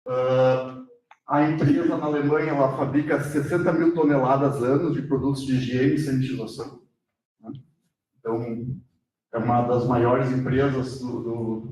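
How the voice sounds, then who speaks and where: A mid-pitched male voice talks in a loose, conversational way and keeps checking in with the audience. He sounds far from the mic, and the space behind him is very echoey. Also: a little mellow. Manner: casual, with tag questions like "né?". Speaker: company representative introducing the speaker. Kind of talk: brief company introduction.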